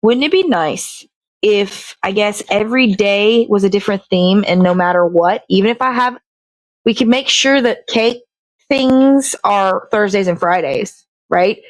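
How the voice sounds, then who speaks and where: A woman's voice: mid-range voice, a little slow, no room sound, rough recording. Chatty woman host, dry close sound. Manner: rambling, self-correcting, chatty. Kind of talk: casual chat while crafting.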